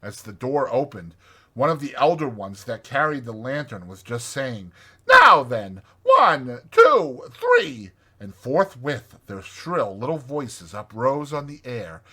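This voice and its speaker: A man narrating a classic storybook as a dramatized audiobook, in a measured, expressive manner. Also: mid-range voice.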